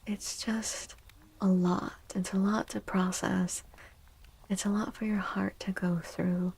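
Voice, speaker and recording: A woman streamer giving a soft-spoken ASMR tarot reading, gentle and unhurried, pausing between phrases and often slipping into a breathy whisper. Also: mid-range voice, little pitch movement.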